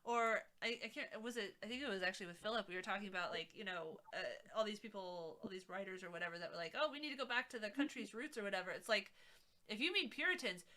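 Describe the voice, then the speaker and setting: A woman's voice: medium pitch, fast talker, sharp tone. A woman streamer chatting casually while she arranges craft beads, laughing often and trailing off with "I don't know.". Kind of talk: casual chat.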